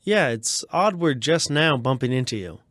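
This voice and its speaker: A man's voice: medium pitch, expressive, moderate pace, a bit bright, no echo. A man co-hosting a radio show in scripted comedic banter, nitpicking and delivering dry quips.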